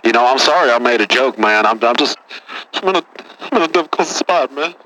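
A man's voice: mid-range voice, expressive, brisk, sharp tone, poor audio. A man playing a boastful, touchy caller from Texas who says "man" after nearly every phrase, in a comedy radio bit. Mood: whiny, indignant. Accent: Texan.

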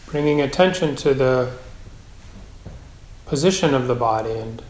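A man teaching a guided meditation in a measured, gentle way, with long pauses between phrases. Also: mid-range voice. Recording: roomy, echoing space.

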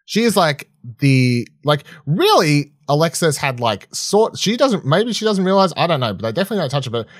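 A man co-hosting a podcast, chatting casually and swearing freely, with a halting, rambling way of talking that often restarts mid-sentence. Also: fairly high pitch, very animated, no room sound, studio quality. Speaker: podcast co-host reviewing film and TV. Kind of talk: casual unscripted chat.

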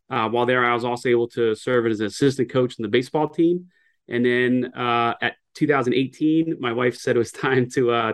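A middle-aged man from New Jersey talks through his background in a relaxed, lightly joking way. His voice is an ordinary middle pitch for a man. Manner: easygoing, conversational, lightly joking. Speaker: guest, retired army pilot and mindset coach. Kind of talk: relaxed interview answer.

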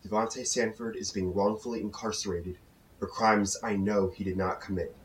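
An actor playing a confessed hitman in a documentary drama, delivering a formal, measured sworn statement with grave emphasis. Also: lowish voice, fairly even intonation, far from the mic, slight background noise, natural voice, low-quality recording. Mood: emphatic, solemn. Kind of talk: scripted testimony monologue.

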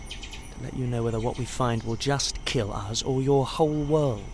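A man's voice: mid-range voice, normal speed, a little mellow, a little hiss or hum, lightly acted. A man playing a brooding, cryptic and menacing guide in an audio drama. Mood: cold, scornful. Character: Serenity, brooding, ominous male expedition guide.

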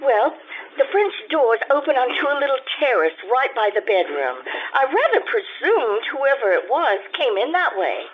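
Lady doing a fluttery 50s radio wife in distress, really high swoopy voice, pleading n hesitant. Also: big pitch swings, moderate pace. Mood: nervous explaining. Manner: breathless, hesitant, fluttery, theatrical. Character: flustered, pleading married society woman, evasive client. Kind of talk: 1950s old-time radio acting. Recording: muffled amateur stage radio recording.

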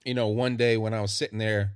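An easygoing man chatting as a guest on a podcast. His voice is low and dry-sounding, with no room echo, and he tells his stories loosely, stretching out his "like"s and "um"s. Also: level tone, smooth. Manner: easygoing storyteller, drawn-out fillers. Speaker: musician guest. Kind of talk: casual interview chat.

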